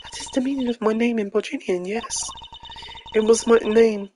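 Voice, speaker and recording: A woman's voice: fairly high pitch, fairly even intonation, warm tone, a little hiss or hum, natural voice. Woman playing a serene, wistful lady singer. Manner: measured, formal, reflective. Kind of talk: amateur video game character voice-over. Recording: game music behind the voice.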